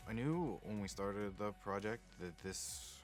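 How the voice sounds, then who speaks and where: A man's voice: on the low side, animated, unhurried, over loud music. A man in a behind-the-scenes documentary interview, explaining creative work informally as a game developer.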